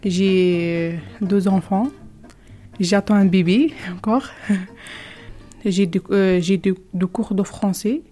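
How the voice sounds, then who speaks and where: A young woman from Algeria whose first language is not French, answering interview questions slowly in simple, halting phrases with many hesitations. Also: medium pitch, mellow, soft background music.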